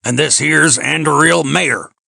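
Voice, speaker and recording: A man voicing a gruff older American mountaineer in an audiobook, with a folksy drawl and flattened foreign names. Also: fairly high pitch, slow pace, no room sound, clean studio sound, voice pushed up, full character voice. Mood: brisk, dutiful.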